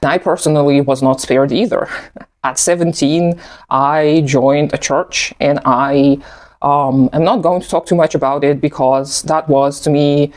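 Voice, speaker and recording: A man in his thirties whose first language is Russian, giving thoughtful, measured personal commentary in English with an occasional soft laugh. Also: on the high side, fairly even intonation. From post-Soviet country. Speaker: video essayist.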